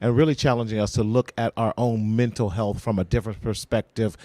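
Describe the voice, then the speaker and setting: A man's voice: on the low side, steady tone, fairly quick, warm tone, no echo. A man hosting a casual panel, upbeat and affirming, introducing guests. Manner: upbeat, affirming, "yes sir" interjections. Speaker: host.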